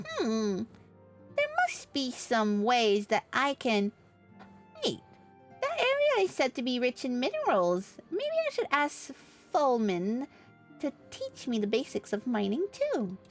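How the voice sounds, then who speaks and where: A woman's voice: fairly high pitch, wildly swooping pitch, slow, faint music behind. A woman livestreaming a game with casual, sweary, muttered commentary. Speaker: livestreamer playing an online fantasy game. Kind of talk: casual gaming commentary and reading game dialogue aloud.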